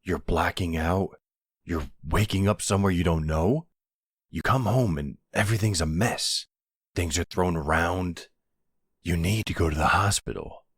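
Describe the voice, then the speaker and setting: A man's voice: low-pitched, measured pace, husky, no echo, studio quality, heavily acted. A man acting as a worried, insistent boyfriend in a roleplay audio drama, speaking in an earnest, pleading, exasperated way. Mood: concerned, disbelieving.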